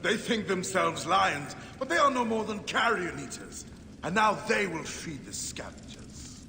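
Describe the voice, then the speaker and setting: Fervent proud ancient leader, high animated voice, echoey and noisy, game acting. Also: male voice, a little slow, gravelly, full character voice. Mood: scornful, resolute. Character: Tahaka, fervent proud male visionary leader in ancient Egypt. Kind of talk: dramatic video game acting. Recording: reverberant, echoing, with noisy ambience.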